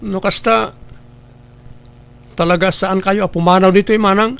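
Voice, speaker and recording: An actor playing an obliging younger brother in an Ilocano radio drama. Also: male voice, high-pitched, steady tone, moderate pace, gravelly, a bit bright, clear, resonant tone. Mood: upset. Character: obliging younger brother working away from home.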